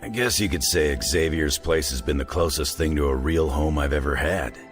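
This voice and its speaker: An actor playing a gruff, wry veteran fighter in a video game, with a gravelly voice and a laconic, folksy, sardonic way of talking. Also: male voice, a bass voice, moderate pace, faint music behind. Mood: candid, easygoing. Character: gruff, wry veteran fighter, rugged middle-aged man. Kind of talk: video game cutscene dialogue.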